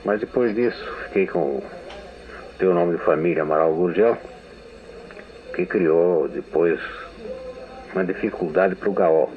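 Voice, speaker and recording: Deep-voiced older Brazilian man reminiscing. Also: a little slow, long pauses. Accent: Brazilian Portuguese. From São Paulo state, Brazil. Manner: meandering, reminiscing storyteller who often restarts sentences. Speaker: interviewed writer. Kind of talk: archival radio testimony. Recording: narrow, phone-like old radio archive.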